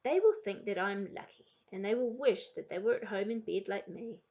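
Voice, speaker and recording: A woman's voice: medium pitch, moderate pace, warm tone, muffled recording, lightly acted. A woman voicing an anxious, reluctant storybook character who whines and protests. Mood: sulky, miserable. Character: reluctant, anxious, whining Sarah dreading a new school.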